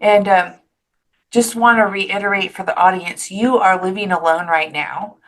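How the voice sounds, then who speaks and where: A woman's voice: medium pitch, steady tone, moderate pace, a bit bright. A woman hosting an interview podcast, chatty and enthusiastic, wandering into asides. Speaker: podcast host. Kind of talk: casual interview chat.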